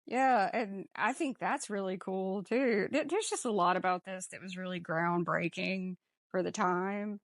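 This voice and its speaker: A woman's voice: medium pitch, measured pace, no room sound, clean studio sound. A woman hosting a podcast, talking casually through a film discussion. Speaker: podcast host.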